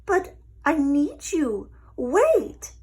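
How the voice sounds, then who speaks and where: A woman's voice: high voice, wildly swooping pitch, very slow, darkish tone, voice pushed up, exaggerated voice. A woman voicing a creepy, oily male lizard suitor in a storybook, slinking and hissing. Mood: pleading. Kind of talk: storybook character voice.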